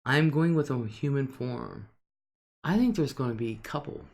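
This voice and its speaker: An older woman with a deep alto voice, casually chatting on her live stream and reading out viewer comments, laughing often between remarks. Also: moderate pace, gravelly, darkish tone.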